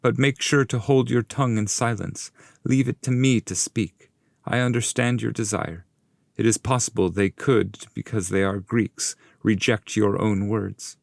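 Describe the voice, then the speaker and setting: A man plays a ghostly old-world poet guide in an epic verse audiobook. He instructs and commands in stately, formal phrases, pitched higher than a deep narrator. The sound is dry. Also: fairly even intonation, measured pace, creaky, darkish tone, no room sound. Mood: approving, instructive.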